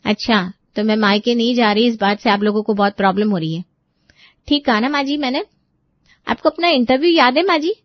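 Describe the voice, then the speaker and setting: A woman's voice: a little high, a little fast, no room sound, lightly acted. Woman acting as young daughter-in-law, cheerful, sweetly insistent, audio story drama, dry muffled recording. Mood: teasing, pointed. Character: cheerful, chatty, clingy young daughter-in-law with a teasing edge. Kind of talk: acted audio story dialogue.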